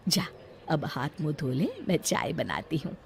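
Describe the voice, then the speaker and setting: A woman voicing a gentle middle-aged mother-in-law in a family story, soothing and motherly as she keeps the peace. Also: sits low, sing-song, a little slow, gravelly, slight background noise, voice pushed down, exaggerated voice.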